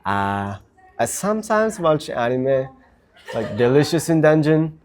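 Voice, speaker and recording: A man's voice: fairly high pitch, expressive, measured pace, a little mellow. A man from Japan, a native Japanese speaker with a Japanese accent, chatting casually and enthusiastically as a guest. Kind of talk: casual chat.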